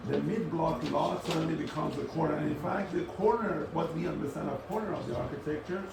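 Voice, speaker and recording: A man thinking aloud in an unscripted academic architecture discussion, halting and often rephrasing as he moderates. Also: fairly high pitch. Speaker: discussion moderator responding to speakers. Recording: roomy, distant microphone, clear background noise.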